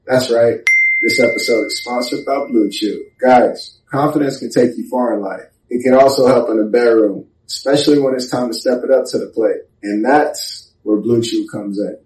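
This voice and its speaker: A man hosting a podcast, reading a sponsor advertisement with an upbeat pitch delivery. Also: moderate pace, darkish tone, slight background noise. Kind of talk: read advertisement. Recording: roomy, distant mic.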